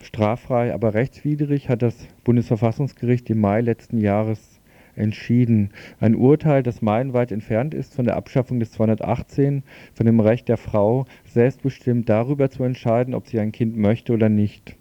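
A man's voice: mid-range voice, fairly even intonation, normal speed, dark tone, dry recording. A man hosting a radio programme, speaking in long sentences as he interviews and moderates. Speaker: radio host. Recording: slightly dull lo-fi radio recording.

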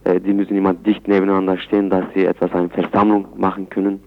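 A man answering in a radio interview, speaking haltingly in phrasing. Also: low voice, completely flat delivery, vocal fry. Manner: halting, non-native phrasing. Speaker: interviewee, chairman of a Kurdish community centre. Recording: dull, lo-fi radio recording.

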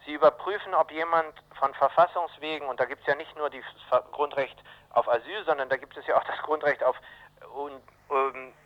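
A man giving blunt, emphatic opinions in a radio interview, often halting and correcting himself. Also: on the high side, steady tone. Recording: dull band-limited radio interview sound.

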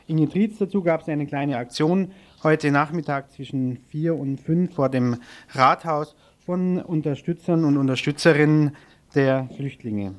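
A man with a slightly high voice presents the news from a radio studio. He moves between reading out items and loosely improvised, somewhat hesitant links. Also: measured pace. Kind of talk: live studio news overview, partly read out.

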